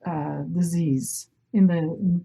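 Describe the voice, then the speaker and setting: A woman's voice: fairly low pitch, steady tone, drawn-out words, gravelly, mellow, slightly rough. A middle-aged woman from Brazil, a native Portuguese speaker, talking casually as an interview guest and often rephrasing herself. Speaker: interview guest, marine biologist. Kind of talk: casual personal storytelling.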